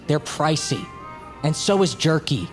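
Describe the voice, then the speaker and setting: Audio drama: unimpressed male clerk, slow delivery, music behind. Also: a little high, expressive. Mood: deadpan. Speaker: actor in a scripted audio fiction podcast.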